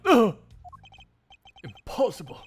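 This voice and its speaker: Man yelling as an excitable game character, high-pitched. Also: takes it slowly, slightly rough, soft background music, exaggerated voice. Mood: shocked. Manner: loud, exclamatory. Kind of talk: amateur game fandub.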